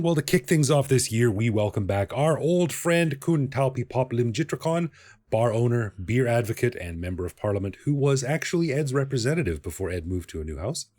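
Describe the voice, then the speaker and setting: A man's voice: mid-range voice, a little mellow. A man in his forties, an upbeat and chatty podcast host who introduces guests and runs the interview. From Canada. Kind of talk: scripted intro and casual interview chat.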